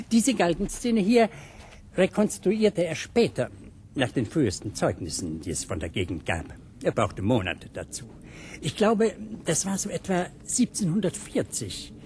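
An actor playing a knowing, eerie gentleman guide in a radio drama, assured, theatrical and bookish in his wording. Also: mid-range voice, a little hiss or hum, close to an everyday voice. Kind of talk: German radio drama acting.

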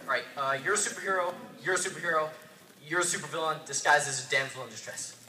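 A man's voice: fairly high pitch, quick pace, bright, forceful tone. A man playing a bossy, exasperated director in a comedy sketch, stammering and repeating his orders impatiently. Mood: excited, bossy. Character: bossy, excitable, exasperated male director running rehearsals. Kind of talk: improv-style sketch acting. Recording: echoey noisy room, distant mic, audience.

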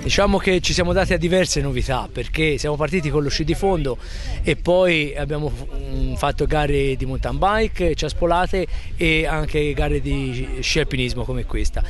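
A man's voice: a little high, moderate pace, faint music behind. A middle-aged man interviewed outdoors on a snowy slope at night, speaking as race organizer with hesitant fillers.

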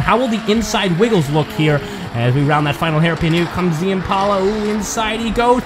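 A man giving play-by-play commentary on a toy car race, building excitement at close finishes. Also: high voice.

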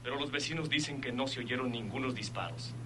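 Bright-voiced earnest young Mexican investigator son. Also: male voice, medium pitch, quick pace, husky, rough recording. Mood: grave reporting. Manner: respectful, formal. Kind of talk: Mexican radio soap acting.

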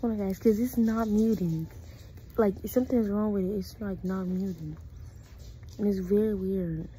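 A young American woman chatting casually to camera in an informal, slangy, halting way, sometimes dropping into hushed asides. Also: medium pitch, steady tone, a little hiss or hum, low-quality recording. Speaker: vlogger making prank calls. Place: small indoor room. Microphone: phone held at arm's length.

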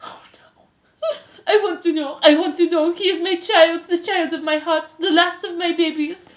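A woman voicing an overwrought, theatrical mother mouse, pleading in drawn-out wails. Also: very high voice, fairly even intonation, echoey room, voice pushed up. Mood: pleading, distraught.

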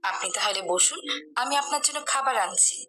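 A woman's voice: high-pitched, sing-song, brisk, sharp tone, exaggerated voice. A woman playing a pious, worried, long-suffering mother in a moral cartoon. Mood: gentle. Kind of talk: cartoon dialogue.

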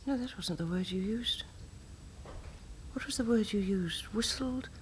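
A woman's voice: mid-range voice, a little slow, slight background noise, close to an everyday voice. A woman playing a lonely, agitated widow in an intimate drama, hesitant and questioning, often repeating herself. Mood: accusing. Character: lonely, agitated widow talking to her dead husband. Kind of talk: intimate dramatic monologue acting.

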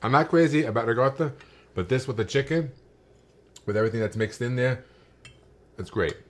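A middle-aged man tasting a dish in a home kitchen and giving his candid, relaxed opinion. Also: mid-range voice, a little fast. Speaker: guest taster. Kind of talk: casual chat.

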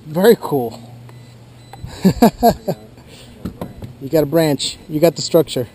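A young man vlogging while fishing from a boat outdoors, talking casually with lots of filler words, getting excited when he hooks a fish. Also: a little high, slow pace, slight background noise. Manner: excitable, laughing, many "uh" fillers. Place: outdoors on a small boat on a river.

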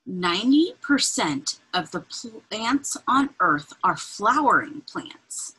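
A woman reading a children's picture book aloud in an expressive, deliberate, breathy way, adding informal asides as she points things out. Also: medium pitch, low-quality recording. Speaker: read-aloud reader. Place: indoors, small room with bookshelves.

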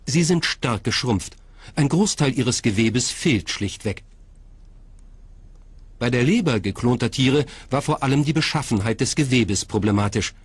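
A man's voice: mid-range voice, expressive, a little slow, breathy edge, dry recording. A man reading scripted documentary narration as the narrator. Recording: music bed behind narration. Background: background music.

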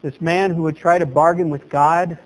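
A middle-aged man preaching a sermon as pastor at a lectern in a small chapel, animated and gesturing, his voice leaping up for emphasis. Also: fairly high pitch, normal speed, low-quality recording.

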